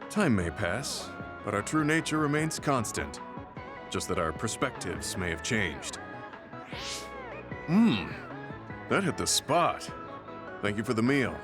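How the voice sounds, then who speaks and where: A stoic, thoughtful soldier from a video game, with a low voice and measured, formal phrasing. He sounds serious and old-fashioned, over clearly audible music. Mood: reserved, mildly pleased. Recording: background music over dialogue.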